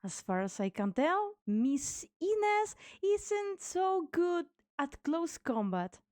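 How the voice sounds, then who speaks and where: A woman's voice: extremely high pitch, sing-song, takes it slowly, a little mellow, dry recording, clean studio sound, exaggerated voice. A woman voicing a cocky, taunting young female demon mercenary with a sarcastic, playful, mock-polite delivery in an amateur game fan dub. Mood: teasing.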